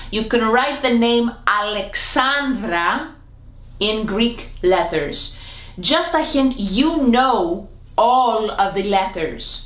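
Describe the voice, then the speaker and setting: A woman teaching a comedic language lesson to camera, playful and theatrical, her voice jumping up in pitch now and then. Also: a bit bright. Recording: echoey room.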